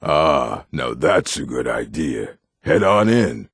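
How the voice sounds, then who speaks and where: An actor, a man, playing a surly, domineering orc with a gravelly, raspy voice and brusque delivery in a video game. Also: very deep voice, big pitch swings, slow pace, no room sound, studio quality, heavily acted. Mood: menacing, grudging. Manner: curt, brusque. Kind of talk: video game character acting.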